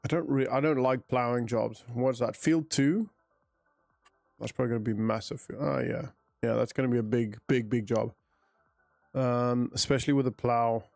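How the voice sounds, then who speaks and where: A man's voice: medium pitch, fairly even intonation, moderate pace, creaky, darkish tone, dry recording, clean studio sound, soft background music. A man from South Africa with an accent that mixes South African and British, chatting casually while streaming a game, thinking aloud and answering chat. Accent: mixed South African and British. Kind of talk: casual live gameplay commentary.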